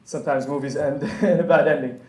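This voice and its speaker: A man's voice: fairly high pitch, fairly even intonation, brisk, a little mellow, echoey room. A young man from the UAE giving a casual, chatty talk to students, with lots of fillers and frequent laughs. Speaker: conference speaker. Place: room with an audience.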